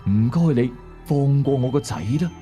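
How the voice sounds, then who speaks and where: A man's voice: medium pitch, animated, moderate pace, mellow, voice pushed up, close to an everyday voice. Male narrator as weary father, downcast, pleading, helpless, ghost audio drama, music bed. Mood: tearful pleading. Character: weary, helpless father pleading with his dead baby daughter.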